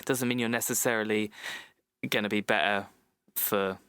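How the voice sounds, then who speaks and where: A man's voice: fairly low pitch, fairly even intonation, moderate pace, bright, forceful tone, smooth, no room sound, clean studio sound. A man co-hosting a podcast from home over an internet call, retelling film scenes eagerly and apologising as he goes. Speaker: podcast co-host. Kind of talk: casual chat about a film. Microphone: home mic on a video call.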